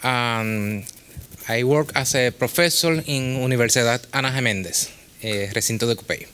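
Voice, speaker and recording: A man from Puerto Rico, a professor, introducing himself in halting, careful English. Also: mid-range voice, a bit bright, smooth.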